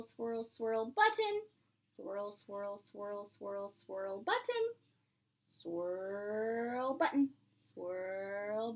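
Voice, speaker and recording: Female pre-K teacher for a picture-book read-aloud; slightly high voice, unhurried pace, playful delivery with simple explanations. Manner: expressive, drawn-out, playful exclamations; simple explanations with frequent questions to the listener. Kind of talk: read-aloud to young children with questions and singing.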